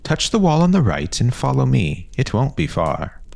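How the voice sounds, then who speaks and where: A man voicing an excited, coaxing boy who leads his friends on adventures in an audio story. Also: medium pitch, moderate pace, vocal fry, pitched above the performer's own voice, heavily acted. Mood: urging. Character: eager, persuasive adventurous boy, ringleader of friends.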